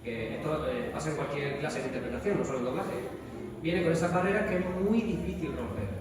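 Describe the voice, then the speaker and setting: A man's voice: a little high, expressive, brisk, a loud crowd. A man with an accent from Spain giving informal, plain-spoken advice as a guest on a live panel. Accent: Spanish from Spain. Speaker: voice actor, guest speaker. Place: large echoey event hall at a convention. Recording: very echoey hall, distant mic, noisy.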